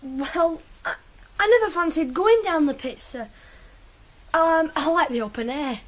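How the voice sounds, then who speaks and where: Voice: high-pitched, a little slow, fairly bright tone. A performer playing a small, eager, nervous fourteen-year-old boy, polite and hesitant in a radio drama.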